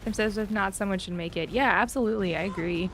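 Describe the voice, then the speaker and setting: A woman's voice: mid-range voice, fast talker, soft background music. A woman chats casually while live streaming a game, thinking aloud in a rambling, self-deprecating way.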